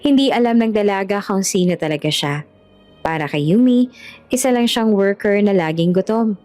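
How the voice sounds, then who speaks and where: A woman's voice: mid-range voice, warm tone. A woman reading a scripted romance story as the narrator of an audiobook. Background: background music.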